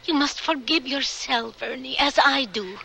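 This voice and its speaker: An actress playing a grave, forgiving woman survivor in a radio drama, speaking solemnly and deliberately. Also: high-pitched, gravelly, bright, forceful tone, slight background noise, full character voice, poor audio. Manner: solemn, deliberate, rhetorical delivery.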